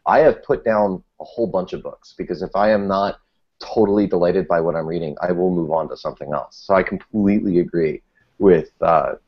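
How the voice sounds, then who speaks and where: Deep-voiced man, wry and emphatic. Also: fairly quick. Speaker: podcast panelist. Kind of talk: casual panel chat. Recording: muffled, dull lo-fi audio.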